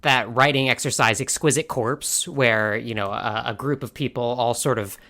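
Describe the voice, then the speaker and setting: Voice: medium pitch, normal speed, pushed and bright. A podcast co-host trading jokes in casual fandom chat, with some hesitant trailing fillers.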